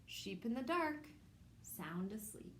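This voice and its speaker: A woman hosting a children's storytime, reading a picture book aloud in simple, measured phrases addressed to young children. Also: mid-range voice, very animated, fairly bright tone, slight background noise. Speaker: children's storytime host at a public library.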